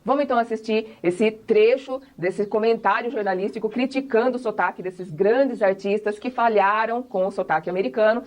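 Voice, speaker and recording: A woman's voice: a little high, moderate pace, hardly any pauses, darkish tone. A woman from Brazil, an English teacher, giving a casual spoken lesson in an emphatic way, full of rhetorical questions.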